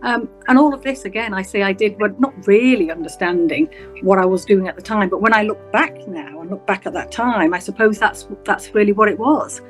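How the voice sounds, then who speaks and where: A woman in her fifties with a Yorkshire accent, talking through her career as an interview guest, measured and reflective with frequent pauses. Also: mid-range voice, faint music behind. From England. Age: 50s. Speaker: guest, senior prosecutor. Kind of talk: interview storytelling.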